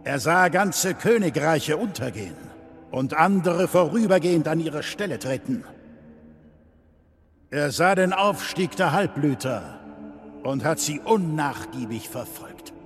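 An actor playing the solemn, grave leader of a knightly order in a game drama, speaking in ceremonial, measured oration. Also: male voice, a little high, lively intonation, over music. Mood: solemn, mournful.

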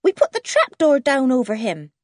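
A woman's voice: sits high, huge pitch swings, moderate pace, darkish tone, no echo, studio quality, voice pushed up. A woman voicing a small, timid boy in a storybook who blurts out simple phrases, eager to have a say. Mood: matter-of-fact.

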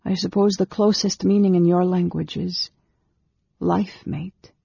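A woman voicing an earnest, nervous woman of a long-lived secretive race in a storybook, speaking haltingly and with emotion. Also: lowish voice, fairly even intonation, creaky, dark tone, breathy edge, dry recording, dull audio, pitched above the performer's own voice, exaggerated voice. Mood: earnest, flustered.

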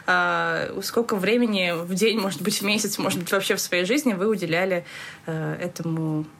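A woman's voice: on the low side, normal speed, vocal fry, a bit bright, a little hiss or hum. A woman in her mid-twenties chatting casually as a podcast co-host. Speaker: podcast co-host, stand-up producer.

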